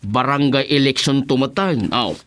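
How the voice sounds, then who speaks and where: A man playing a teasing, excitable village councilman in a radio drama comedy. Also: medium pitch, moderate pace, natural voice. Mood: teasing, amused.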